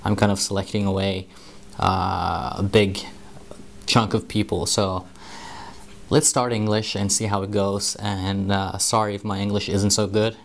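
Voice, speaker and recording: A man's voice: lowish voice, little pitch movement, a little slow, gravelly, a little hiss or hum. A young man whose first language is Norwegian, talking casually to camera in an office room, hesitant with many ums and uhs. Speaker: marketing vlogger.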